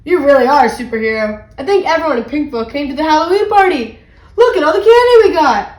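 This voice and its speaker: A woman reading the part of a blunt, excitable young brother in a children's storybook. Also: fairly high pitch, moderate pace, reverberant, lightly acted. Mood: excited.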